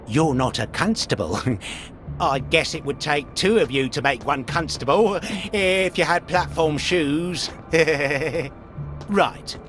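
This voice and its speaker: A smug, slow-talking British policeman. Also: a little high, a bit bright, slight background noise. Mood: smug, mocking. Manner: rambling, self-pleased, chuckling. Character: chatty, smug, petty policeman stuck in records. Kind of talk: video game character acting.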